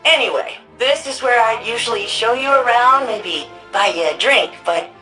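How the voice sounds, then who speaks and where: Video game role: nerdy librarian woman, wry, chatty, animated delivery, faint music behind. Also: on the high side, moderate pace, rough recording. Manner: chatty, informal, wry, geeky. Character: quirky, eager, nerdy young woman archivist and librarian. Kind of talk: video game character dialogue.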